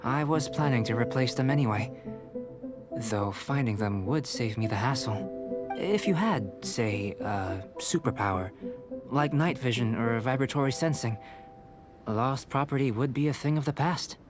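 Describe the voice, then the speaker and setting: A man voicing a calm, polite, bookish young alchemist in a video game, measured and formal. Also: medium pitch, lightly acted. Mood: composed, polite. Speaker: video game character actor. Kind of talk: scripted game voice acting. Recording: music behind the voice.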